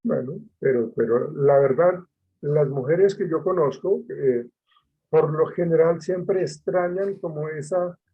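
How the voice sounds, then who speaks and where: A man's voice: a little high, measured pace, narrow, tinny audio, slight background noise. A man, a teacher leading an online class discussion, hesitant with many fillers and repetitions.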